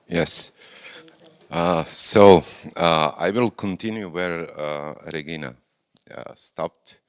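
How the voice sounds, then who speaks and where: Very deep, slow man. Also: clean tone, dry recording, studio quality. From Serbia. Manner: informal, speaks off the cuff. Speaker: conference speaker. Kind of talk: unscripted conference talk.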